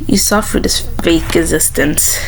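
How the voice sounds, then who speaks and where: A woman's voice: on the low side, measured pace, creaky. A woman voicing a cold, ominous girl who runs a death game in a visual novel, formal and taunting, over a noisy recording.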